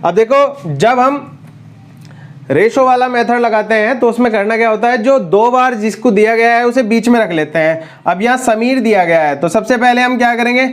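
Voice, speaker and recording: A man with a sore throat teaching an exam-prep math lesson, working through problems step by step and addressing students as friends. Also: very high-pitched.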